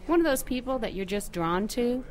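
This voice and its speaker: A woman's voice: fairly high pitch, animated, moderate pace, lightly acted. A woman playing a chatty, flirtatious nurse in her fifties, in conversational audio drama dialogue.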